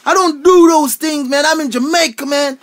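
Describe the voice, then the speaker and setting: A man's voice: very high-pitched, moderate pace. Laid-back holidaying cousin played by a man, slangy, repetitive and protesting, for a radio family drama. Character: laid-back, fun-seeking male cousin visiting from New York.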